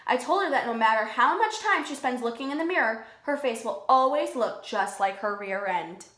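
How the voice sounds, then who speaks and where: A woman's voice: fairly high pitch, lively intonation, a bit bright. A woman voicing a young boy worm reading out his diary in a children's picture-book read-aloud. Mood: matter-of-fact. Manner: slow, simple, storybook delivery. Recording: echoey room.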